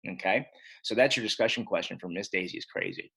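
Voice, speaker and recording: A man's voice: low-pitched, fast talker, sharp tone, breathy edge. A man teaching young children in a casual read-aloud style, chatty and speaking directly to his pupils. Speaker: elementary school teacher.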